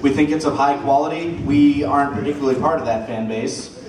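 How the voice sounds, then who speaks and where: A man's voice: mid-range voice, fairly quick. A man chatting casually as a panelist at a convention. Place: large hotel convention panel room. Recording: echoey hall from far, crowd noise. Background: audience crowd.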